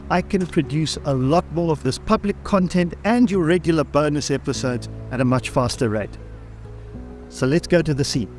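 A middle-aged man with a slightly high voice tells a true story in a wry, chatty way, dropping dry asides. Faint music plays underneath. Some of it is spoken from behind the wheel of a car. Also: a little mellow. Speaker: history vlog host. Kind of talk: storytelling vlog narration. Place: outdoors by a car, then driving in a car.

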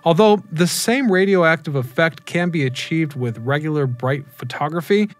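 A man in his thirties giving scripted documentary narration as a history channel host, in a measured, explanatory way, recorded in a dark studio on a boom mic. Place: dark studio with neon sign.

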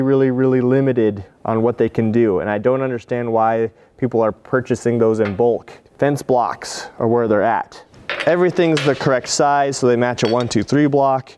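A man's voice: mid-range voice, darkish tone. A man showing off the welding fixtures he designed, explaining each one in a direct, plainspoken way. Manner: direct, plainspoken, enthusiastic about his tools. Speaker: tool maker demonstrating his products. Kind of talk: hands-on product demonstration. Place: metal fabrication shop at a fixture table.

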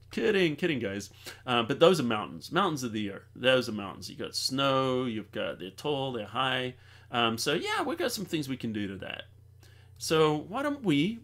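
A chatty middle-aged man hosts a live tutorial stream, talking straight to his viewers in an upbeat, easygoing way. He sits at a desk in a home studio, close to a desk mic, and his voice sits at an ordinary middle pitch. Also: a bit bright. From New Zealand. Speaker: host.

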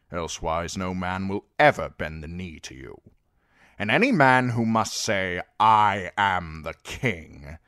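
A man voicing a stern, cold old lord who speaks in measured, authoritative tones. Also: deep voice, a bit bright, no room sound, clean studio sound, full character voice.

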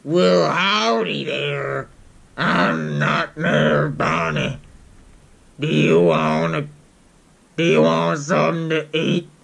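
A man voicing a creepy, folksy nightmare animatronic rabbit with a heavily gravelly voice. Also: on the high side, big pitch swings, slow pace, a bit bright, heavily acted. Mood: creepily friendly. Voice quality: heavy gravelly fry.

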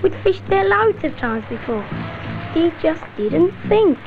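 Shaken british kid telling what happened, high voice, dull old film sound, music behind. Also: big pitch swings, measured pace, full character voice. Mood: regretful. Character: shaken British child recounting a friend's accident. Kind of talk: acted first-person voice-over in a safety film.

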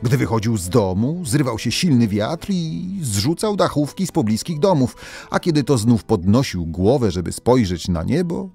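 A man narrating scripted folk tales as a storyteller, expressively and with dramatic pauses. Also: medium pitch, very animated, vocal fry, dark tone, slightly rough, soft background music.

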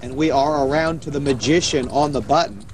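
A man's voice: mid-range voice, fairly quick. A man doing play-by-play television commentary on a poker game. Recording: faint background noise, dated TV broadcast.

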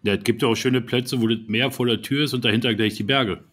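A husky-voiced man co-hosts a podcast over a video call, wearing a headset. He keeps things easy and agreeable, chiming in to back up the others. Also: medium pitch, fairly even intonation, fast, fairly bright tone. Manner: agreeable, backs up others with frequent "genau". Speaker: podcast co-host. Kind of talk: casual chat. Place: home room on a video call.

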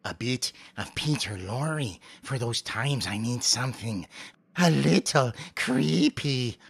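A man demonstrating creepy old-horror-film character voices in a theatrical, drawn-out manner. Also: mid-range voice, lively intonation, vocal fry, husky, heavily acted.

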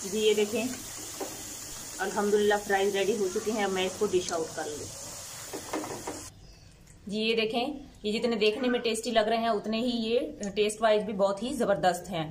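A woman's voice: medium pitch, fairly even intonation, normal speed, long pauses, background noise. A middle-aged woman hosting a cooking channel, explaining each step of a home recipe patiently. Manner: patient, instructive, homely. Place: home kitchen.

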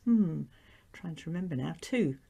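A woman's voice: low-pitched, animated, normal speed, creaky, warm tone. A middle-aged woman from England, with an English accent touched by New Zealand, chatting as a guest over a video call and laughing often. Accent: English with some New Zealand influence. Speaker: guest, quilt shop owner and designer. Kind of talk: casual interview chat. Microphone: video call webcam.

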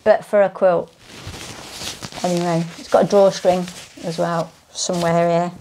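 A woman's voice: fairly low pitch, little pitch movement, measured pace, warm tone, a little hiss or hum, low-quality recording. Chatty woman reviewing gear. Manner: chatty, self-deprecating, lots of fillers and restarts. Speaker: hiking gear vlogger. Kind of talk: casual gear review to camera. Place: living room at home, indoors.

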